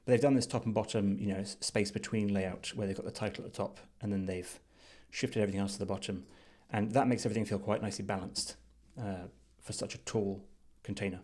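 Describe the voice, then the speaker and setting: A man with a fairly low voice talks through what is on his screen as a reviewer. His delivery is unscripted and thoughtful, measured as he reasons aloud. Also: fairly even intonation, a little mellow. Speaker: design reviewer. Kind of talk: unscripted screen-share commentary.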